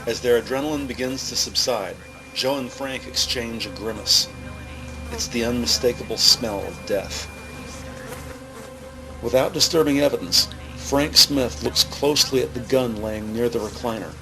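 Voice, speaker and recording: A man narrating a scripted crime audio drama in the present tense, measured and descriptive. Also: medium pitch, low-quality recording. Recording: faint music behind.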